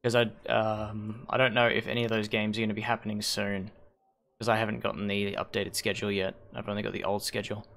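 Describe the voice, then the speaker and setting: A hoarse man hosting a league stream on a headset mic, rambling and correcting himself as he thinks aloud. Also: fairly low pitch, flat delivery, quick pace, fairly bright tone. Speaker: league host and shoutcaster. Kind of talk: casual livestream commentary.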